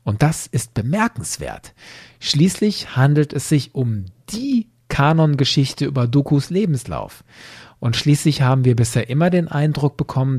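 A male podcast host delivers scripted commentary. His voice is husky and moves in lively contours, with deliberate emphasis on key points. The sound is close and dry. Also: mid-range voice, dry recording.

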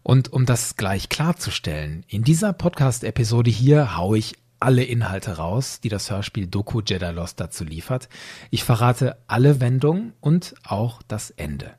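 Guy hosting a solo podcast, bit husky, really animated melody, chatty but organized explaining. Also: mid-range voice, normal speed. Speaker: podcast host. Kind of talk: explanatory solo podcast narration, addressing listeners directly.

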